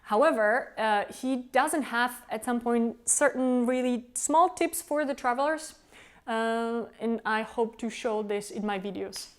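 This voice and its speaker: A woman's voice: on the high side, unhurried. A woman from the Czech Republic, a native Czech speaker with a Czech accent, earnestly answering interview questions as a tour guide. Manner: earnest, explains at length. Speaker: tour guide and company founder.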